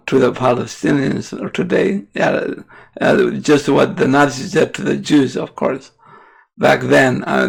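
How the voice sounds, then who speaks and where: A man from the Middle East, a native Arabic speaker, giving unscripted political commentary as a podcast host in a halting way, often correcting himself. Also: mid-range voice, creaky, breathy edge, rough recording. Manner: halting, searching for words with frequent self-corrections.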